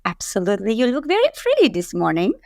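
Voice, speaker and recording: A woman's voice: mid-range voice, wildly swooping pitch, fast talker, gravelly, darkish tone, dry recording, studio quality. A woman from Romania hosting a casual interview, bubbly and emphatic, laughing often and building lists of words. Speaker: podcast host.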